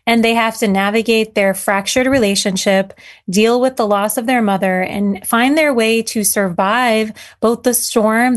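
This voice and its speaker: A woman who came to America from Syria as a child, talking earnestly about her writing as an interview guest, with frequent fillers and restarts. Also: a little high. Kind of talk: casual interview talk.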